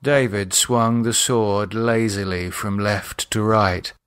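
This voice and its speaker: A man reading a horror novel as a dramatic audiobook, measured and expressive in his delivery. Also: fairly low pitch, clear, resonant tone. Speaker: author and narrator reading own novel.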